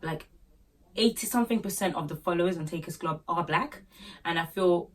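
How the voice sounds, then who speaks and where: A woman's voice: on the low side, a little fast, distant mic, rough recording. A woman from Nigeria with a Nigerian Yoruba accent, chatting casually to camera and giving business tips with joking asides and fillers. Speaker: small business owner and vlogger.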